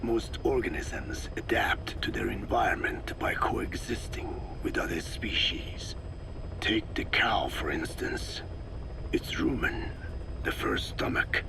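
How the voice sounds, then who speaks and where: A raspy, whispering ancient sage. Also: male voice, fairly low pitch, full character voice. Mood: calm lecturing. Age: older. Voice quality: raspy, breathy, whispery croak. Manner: slow, deliberate, lecturing. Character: very old, wise Navajo scientist, solemn and didactic. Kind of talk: scripted dramatic game dialogue.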